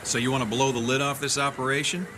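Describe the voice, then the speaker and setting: A man's voice: mid-range voice, flat delivery, quick pace, noisy background, close to an everyday voice. An actor playing a cynical, unflappable male investigator in a radio drama, sardonic, offhand and skeptical. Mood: dry, probing.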